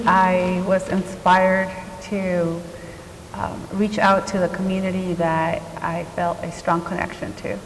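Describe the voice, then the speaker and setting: Low, gravelly woman speaking earnestly. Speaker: panelist, nonprofit leader. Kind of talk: panel discussion. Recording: hall reverb with noise and clicks.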